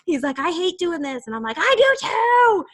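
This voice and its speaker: A woman's voice: extremely high pitch, big pitch swings, normal speed. A woman with a Boston accent leading a casual team call, chatty and rambling, laughing often. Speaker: fitness team leader.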